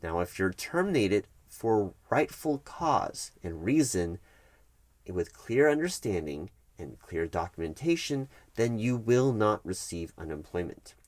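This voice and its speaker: Low-voiced man as officious HR manager. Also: big pitch swings, a little mellow, no room sound. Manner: measured, explanatory, officious, halting emphasis. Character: corporate HR manager, firm, bureaucratic man. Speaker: roleplay performer as HR recruiting manager. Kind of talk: one-sided ASMR office roleplay, conversational.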